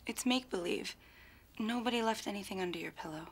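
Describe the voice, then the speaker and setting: An actress playing a gentle, matter-of-fact woman correcting someone in an ad sketch. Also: medium pitch, fast talker, creaky, slight background noise, close to an everyday voice.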